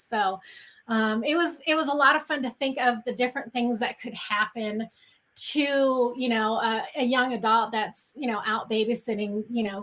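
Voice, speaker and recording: A middle-aged woman with a clearly high, bright voice. She speaks casually and conversationally, as a writer being interviewed. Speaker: interview guest, horror writer. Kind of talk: casual chat.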